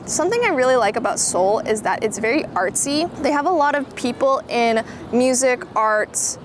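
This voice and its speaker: Upbeat vlogger in her twenties, slightly high voice, constant background noise; chatty, filler-heavy delivery. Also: animated, normal speed. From Canada. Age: 20s. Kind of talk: casual vlog talk to camera.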